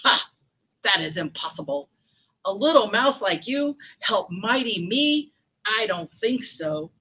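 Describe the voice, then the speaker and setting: A woman's voice: medium pitch, sing-song, a little slow, sharp tone, husky, highs cut off, exaggerated voice. A woman voicing a proud, mighty male lion in a children's story, roaring and declaiming bombastically. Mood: scornful.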